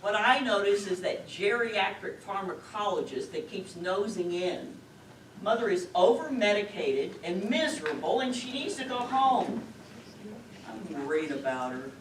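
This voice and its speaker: A long-suffering, worried older woman played by an actress in a stage play. Her delivery is dry and matter-of-fact but moves widely in pitch, caught from a distance with clear background noise. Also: animated, measured pace, reverberant, natural voice. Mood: worried, insistent. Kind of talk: amateur stage play dialogue. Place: lakeside community theater stage, picked up from afar.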